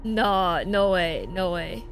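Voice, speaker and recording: Young lady reacting live to a game, exclamatory, unhurried pace, bit of music behind. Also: lowish voice. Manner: emotional, exclamatory, slangy. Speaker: game streamer.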